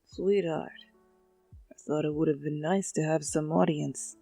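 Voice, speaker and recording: A woman's voice: low-pitched, fairly even intonation, a little slow, creaky, dark tone, no echo, faint music behind, voice pushed down, exaggerated voice. A woman voicing a teasing, pushy teenage delinquent boy in a story reading. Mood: smug, teasing. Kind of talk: story reading with acted dialogue.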